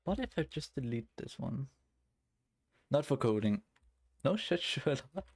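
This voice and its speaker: Man, Danish accent, live streamer, mid pitch, blunt, sweary, mutters to himself. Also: very animated, measured pace, husky. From Denmark. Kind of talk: casual live-stream chat while coding.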